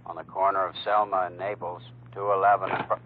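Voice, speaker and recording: An actor playing a flat, businesslike police dispatcher reading a clipped bulletin through a radio filter in a drama. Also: male voice, low voice, quick pace. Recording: distant, tinny police radio.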